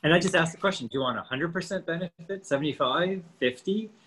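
A man's voice: fairly high pitch, fast talker, fairly bright tone, slightly rough. A man in his fifties co-hosting a casual podcast, explaining training and nutrition with lots of rhetorical questions. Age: 50s.

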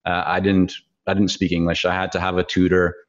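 A man's voice: low-pitched, completely flat delivery, a little fast, lo-fi sound. A man in his forties from Canada whose first language is Hebrew, talking as a guest in a rambling, hesitant way with many fillers. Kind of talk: podcast interview.

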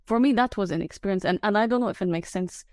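A young woman hosting a podcast, with a low, soft voice; she chats casually, laughing often and hesitating with fillers. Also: quick pace, dry recording, clean studio sound. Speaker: podcast host. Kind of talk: casual conversational chat.

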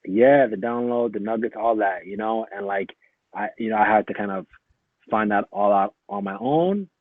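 A man born in Somalia, a guest in a casual interview, talking in a relaxed, rambling way full of fillers and restarts. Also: lowish voice, moderate pace, dry recording. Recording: tinny phone-like lo-fi line, dry.

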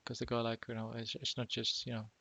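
A man hosting a casual remote interview, talking haltingly with lots of fillers and restarts. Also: medium pitch, monotone, creaky, sharp tone. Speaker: podcast host.

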